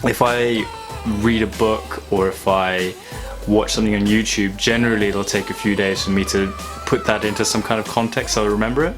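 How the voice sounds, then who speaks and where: A young man talking casually and reflectively in a vlog, thoughtful and halting with long pauses. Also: lowish voice. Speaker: vlogger.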